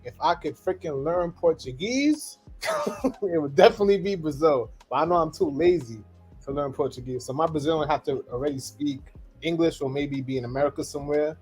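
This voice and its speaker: A man's voice: fairly high pitch, expressive, a little fast, darkish tone, faint music behind. A man from Trinidad, co-hosting a casual podcast chat and talking bluntly with jokes and repeated words. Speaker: podcast co-host.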